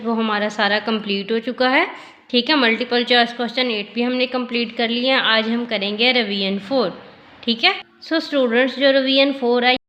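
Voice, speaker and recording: Hoarse woman teaching math. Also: a little high, a bit bright. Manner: asks questions and answers them herself. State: slightly hoarse from a sore throat. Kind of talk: step-by-step classroom explanation. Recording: echoey room.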